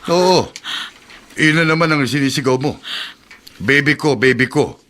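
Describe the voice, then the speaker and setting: A man's voice: mid-range voice, measured pace, sharp tone, slight background noise. A man with a Filipino accent playing an irritable, sleepy husband in a radio drama, complaining and emphatic. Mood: irritated. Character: irritable, sleepy husband, matter-of-fact and nagging.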